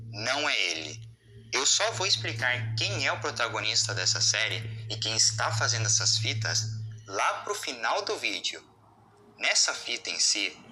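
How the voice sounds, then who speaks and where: A man's voice: medium pitch, sharp tone, slight background noise, poor audio. A Brazilian man narrating a scripted explainer as the voice of a video essay.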